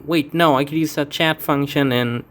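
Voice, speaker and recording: A man's voice: a little high, fairly even intonation, normal speed, fairly bright tone, a little hiss or hum. A man reading a fan game aloud, voicing its characters with casual asides and stumbles. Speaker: let's play fan dubber. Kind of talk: casual game playthrough with voiced dialogue.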